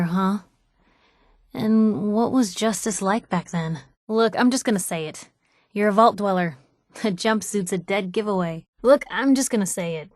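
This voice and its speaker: A woman's voice: medium pitch, no echo, studio quality, close to an everyday voice. An actress playing a plucky young woman newspaper reporter in a video game, with a wry, teasing, conversational way of talking. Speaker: companion character voice actor.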